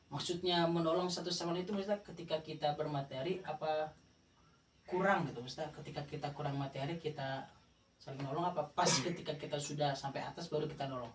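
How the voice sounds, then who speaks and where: Middle-aged podcast host with a Jakarta accent, chatty, quick to laugh; recorded far off the mic in an echoey room with clear background noise. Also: male voice, a little high, steady tone. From Indonesia. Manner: laughs often, sums up the guest's answers. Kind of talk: casual chat.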